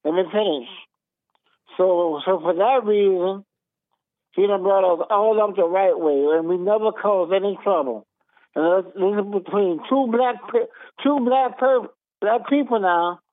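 A man's voice: high-pitched, unhurried, no room sound. A man in his fifties with a Southern US accent, calling into a radio show and arguing insistently, repeating himself. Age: 50s. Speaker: caller. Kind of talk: call-in radio talk. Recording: phone line.

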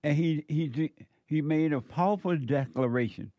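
A man's voice: fairly high pitch, moderate pace, dark tone, no room sound, lo-fi sound. A man hosting a casual chat who reacts to posts with laughter and playful repetition. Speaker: show host.

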